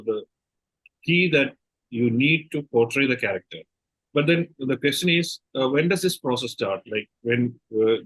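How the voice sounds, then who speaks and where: A man's voice: medium pitch, a bit bright, no echo, poor audio. A man interviewing conversationally, feeling his way through questions and rephrasing them.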